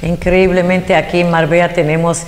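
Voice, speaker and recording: A woman's voice: on the low side, flat delivery, normal speed. A woman with a foreign accent co-hosting a TV interview, gushing with compliments and effusive praise for her guests. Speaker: TV show co-host. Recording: echoey hall with long reverb.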